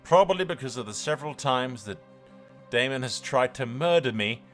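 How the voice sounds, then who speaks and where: A man hosting a game playthrough, commentating in an excitable, sweary, bantering way. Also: mid-range voice, normal speed, soft background music. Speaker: host of a gaming channel. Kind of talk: playthrough commentary with in-character narration.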